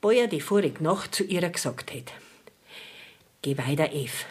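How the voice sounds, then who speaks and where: A woman's voice: low-pitched, animated, a little slow, darkish tone. A middle-aged woman playing an indignant, stubborn village widow in a dialect radio play, scolding emphatically with a Bavarian accent. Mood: accusing. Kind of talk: dialect radio drama acting.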